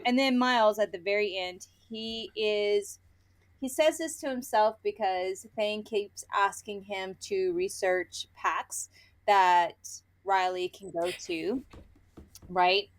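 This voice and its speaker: A woman co-hosting a book review podcast, chatting casually and gushingly and sometimes reading quotes aloud. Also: medium pitch. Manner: informal, chatty, gushing, frequent "like".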